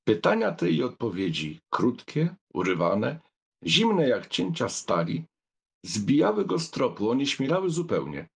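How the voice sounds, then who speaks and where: A man reads literary narration for an audiobook. His mid-pitched voice is husky, and his intonation moves in a lively way. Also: measured pace.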